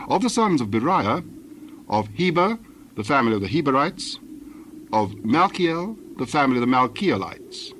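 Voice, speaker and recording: Guy reading the bible as narrator, formal n measured, mid pitched, noisy ambience behind him. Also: very animated, fairly bright tone. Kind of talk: scripted dramatized scripture narration.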